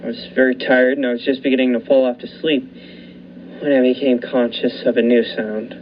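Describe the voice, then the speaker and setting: A man's voice: medium pitch, level tone, a little fast. A young man narrating a radio thriller in the first person, telling it steadily and building the tension. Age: young adult. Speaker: narrator and lead actor. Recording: dull lo-fi recording, faint hiss.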